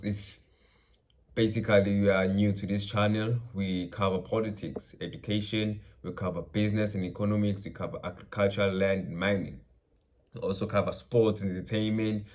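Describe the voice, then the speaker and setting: A South African man from KwaZulu-Natal, a Zulu speaker, hosting his channel with loose, rambling political commentary that mixes in Zulu phrases. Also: fairly low pitch. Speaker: channel host. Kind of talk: casual political commentary vlog.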